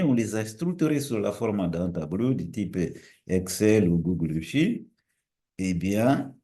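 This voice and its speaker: Mellow-voiced lecturer. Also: male voice, on the low side. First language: Portuguese. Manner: explains step by step, repeats and corrects himself. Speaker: university lecturer. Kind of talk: recorded methods lecture.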